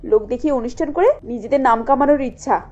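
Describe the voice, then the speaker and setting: Woman acting an indignant, high-pitched young wife. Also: quick pace, dull audio. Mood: angry. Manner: scolding with sharp rhetorical questions. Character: indignant young pregnant married daughter, bitter and reproachful.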